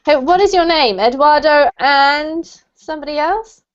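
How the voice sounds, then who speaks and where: A woman from the UK teaching an English class online, cheerful and quick to laugh as she greets students by name over a video call. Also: high voice. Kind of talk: casual online class chat. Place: home room in New Zealand. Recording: dull lo-fi video call audio.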